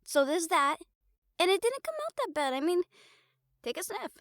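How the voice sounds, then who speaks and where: A woman playing a caring, blunt, teasing young girlfriend in an improvised audio roleplay, chatting casually and haltingly to the listener. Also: very high-pitched, big pitch swings, fairly bright tone, a little hiss or hum, heavily acted. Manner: casual, halting, playful swearing, affectionate teasing.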